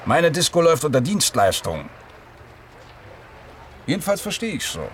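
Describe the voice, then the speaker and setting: Male DJ, thirties, defensive and patronising, animated intonation, radio play, faint traffic-like noise. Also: on the high side, normal speed. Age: 30s. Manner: defensive, patronising, self-justifying. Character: defensive, touchy mobile DJ in his mid-thirties. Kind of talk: German radio drama dialogue.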